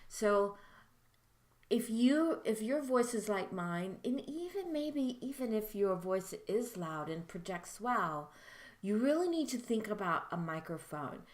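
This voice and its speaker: A soft-spoken woman giving a friendly, chatty lesson to camera as a teacher of mediumship. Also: medium pitch, sing-song, a little slow, reverberant. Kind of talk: casual instructional talk to camera.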